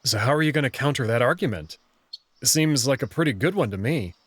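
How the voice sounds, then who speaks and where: A man's voice: mid-range voice, animated, brisk, fairly bright tone, husky, dry recording. A man playing an anxious, skeptical young human hero who narrates his own story in a visual novel, in a plain, casual, wry way. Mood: skeptical, questioning. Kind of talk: first-person visual novel narration and dialogue.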